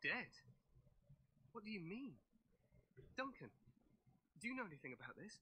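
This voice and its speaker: An actor playing a confident, glory-hungry young king in a fantasy game, with a hearty, grand, expressive delivery. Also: high voice, moderate pace, slight background noise, heavily acted. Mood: shocked. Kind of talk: dramatic fantasy game acting.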